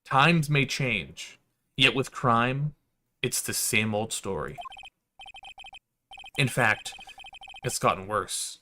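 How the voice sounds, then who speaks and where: A man's voice: medium pitch, slow pace, long pauses, bright, forceful tone. A man voicing a beleaguered young lawyer in a game, wry and brooding. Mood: grim, reflective. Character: beleaguered young male lawyer, dry and weary.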